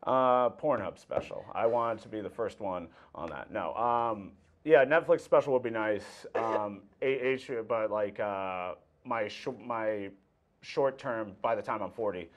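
A man's voice: fairly low pitch, highs cut off. A man in his thirties chatting casually as a comedian guest, stumbling over phrases and dropping dry jokes. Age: 30s. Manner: halting, restarts phrases, dry joking asides. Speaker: stand-up comedian, interview guest. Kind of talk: casual joking interview chat. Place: small indoor TV studio set. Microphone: lapel mic.

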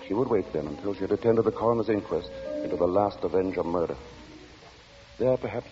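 A man narrating a 1940s radio drama in a formal, grave, dramatic storytelling manner. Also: lowish voice. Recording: dull, noisy 1940s radio recording.

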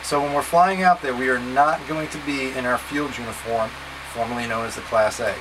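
A man's voice: mid-range voice, fairly quick. Plain-spoken man instructing over background noise. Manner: plain-spoken, step-by-step, casually directive. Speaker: scout trip leader. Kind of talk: instructional packing talk to camera. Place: small wood-panelled boat cabin, indoors. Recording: steady background hum and noise.

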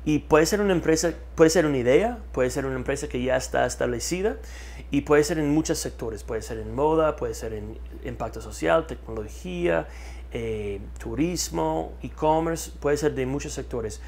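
A man's voice: fairly high pitch, moderate pace, vocal fry, slight background noise. A man, talking in an interview as a marketing consultant, repeating key words for emphasis, on a lapel mic in a studio. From United States. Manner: repeats words for emphasis, animated hand gestures. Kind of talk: energetic interview talk. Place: studio with map backdrop.

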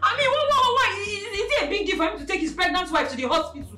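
An actress playing a brash, threatening pregnant young wife in a melodrama, ranting and stammering loudly. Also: female voice, very high-pitched, very animated, quick pace, faint music behind, exaggerated voice. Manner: loud, defiant, dramatic, stammering rants. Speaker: actor. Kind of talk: melodrama acting.

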